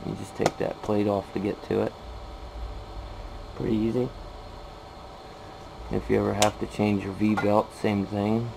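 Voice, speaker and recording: A man's voice: fairly low pitch, monotone, a little slow, vocal fry, pauses often. An American man giving a casual, plain-spoken, hands-on walkthrough of a repair in a workshop. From California, USA. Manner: plain-spoken, matter-of-fact. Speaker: machinist demonstrating a repair. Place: machine shop, indoors. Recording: noisy amateur workshop recording.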